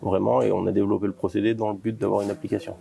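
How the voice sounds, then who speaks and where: A man explaining his company's work in an informal interview, in a halting way with repeated false starts. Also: lowish voice, little pitch movement, creaky, warm tone. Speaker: company co-founder being interviewed.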